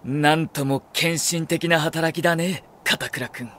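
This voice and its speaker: A man's voice: a little high, fairly even intonation, slow pace, slight background noise. A man playing a cool, condescending military strategist with refined, superior diction in an audio drama. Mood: cool disdain. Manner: soft-spoken condescension, commanding shouts. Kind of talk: Japanese anime-style audio drama acting.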